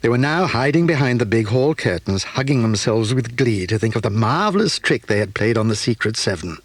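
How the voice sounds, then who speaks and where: Narrator: man, husky tone, lively intonation, dry close recording. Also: medium pitch, brisk, no echo. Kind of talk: scripted storybook narration.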